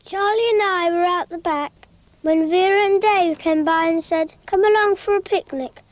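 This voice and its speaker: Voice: very high voice, normal speed, no echo. An actor voicing a small child who narrates a cautionary cartoon in a simple, childlike storytelling way. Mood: earnest.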